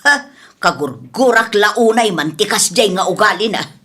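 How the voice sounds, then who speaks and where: A woman's voice: mid-range voice, expressive, unhurried, a bit bright. An older woman playing a proud, grumbling elderly widow who runs an eatery in a radio comedy drama. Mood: indignant, complaining. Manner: indignant, complaining.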